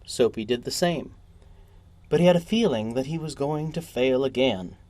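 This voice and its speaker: A man gives a scripted storybook narration in a mid-pitched voice. He phrases deliberately, and the sound is dry, with very little room. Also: animated, a little mellow, dry recording. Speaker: narrator, short story reader.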